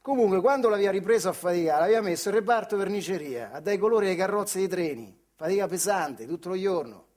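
Guy w/ marche accent doing a stage monologue, high voice, folksy dialect storytelling, chatty asides. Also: expressive, fast talker, hardly any pauses, darkish tone. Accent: central Italian, Marche.

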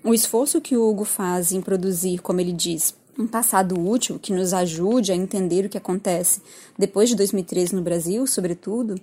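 A woman's voice: medium pitch, moderate pace, mellow, slightly rough. A woman with a Brazilian accent giving an informal, reflective spoken commentary with a lightly self-deprecating manner. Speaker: art history teacher.

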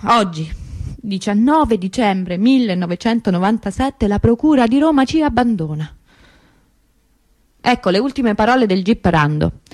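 An actress playing an anguished, stubbornly hopeful grieving sister in a theatrical radio monologue, intense and emotive with dramatic pauses. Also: female voice, medium pitch, animated, darkish tone, no room sound, lightly acted. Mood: grave.

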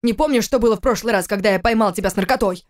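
A man's voice: high voice, rapid-fire, natural voice. A woman voicing a stern, grieving father. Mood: angry, demanding. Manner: forceful, reproachful, rhetorical questions. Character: stern, angry, grieving older father scolding his son.

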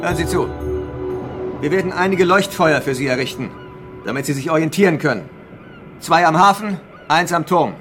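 A man acts a guarded, calculating island mayor with a somewhat high male voice. He is formal and measured, used to giving orders. Music plays clearly under the radio drama scene. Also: natural voice. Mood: calmly reassuring. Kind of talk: German radio drama acting.

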